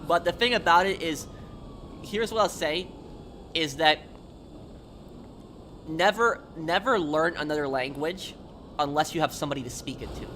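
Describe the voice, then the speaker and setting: Male streamer, noisy background. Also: a little high. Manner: halting, repetitive, explanatory, emphatic. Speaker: livestreamer. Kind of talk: casual gaming stream chat, answering viewers.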